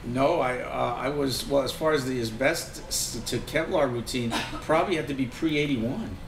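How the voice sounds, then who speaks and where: A man hosting a casual interview, speaking haltingly with frequent restarts. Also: medium pitch, gravelly. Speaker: host, business owner. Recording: echoey room from far off with crowd noise.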